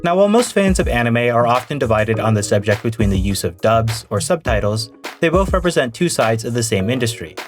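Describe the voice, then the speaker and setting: A man's voice: medium pitch, sing-song, a little fast. A man reading an earnest, argumentative scripted narration for a video essay. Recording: narration over music.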